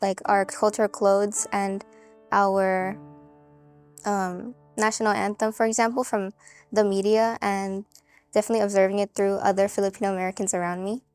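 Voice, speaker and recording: A woman's voice: medium pitch, level tone, normal speed, darkish tone, clear, resonant tone, soft background music. A young woman answering hesitantly in a documentary interview, with lots of "um" and "like".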